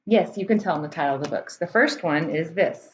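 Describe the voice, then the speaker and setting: A woman's voice: low-pitched, animated, brisk. An American woman chatting informally to camera like a teacher presenting books, hesitating and thinking aloud as she explains. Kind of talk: casual explanatory chat to camera. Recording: dull muffled audio, background room noise.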